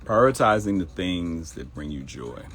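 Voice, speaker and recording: A man's voice: low-pitched, very animated, moderate pace, fairly bright tone, soft background music. A middle-aged man with a Baltimore accent hosting a live morning show in casual talk, addressing viewers directly and acting out quoted voices.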